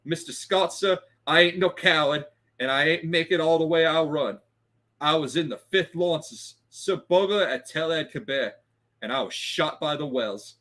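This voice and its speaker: Man putting on a high Cockney bellboy. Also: normal speed, fairly bright tone, natural voice. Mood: defensive. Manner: ungrammatical, peppered with respectful address. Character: young Cockney bellboy, deferential yet scrappy and indignant.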